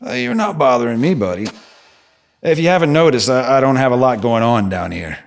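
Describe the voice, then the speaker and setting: An actor playing a blunt, needling, foul-mouthed streetwise stranger who lives in the subway, in an audio drama. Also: male voice, medium pitch, very animated, a little fast, gravelly. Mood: probing, casual. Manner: blunt, profane, probing.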